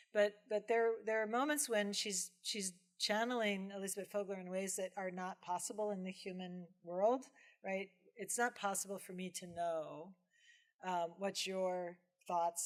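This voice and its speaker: A woman speaking as a professor in an informal lecture question-and-answer, talking conversationally with acted-out asides and checking in with listeners. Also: fairly low pitch. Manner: conversational, animated retelling with acted-out asides and frequent "right?". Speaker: professor giving a film lecture and taking audience questions. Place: film screening lecture venue with audience.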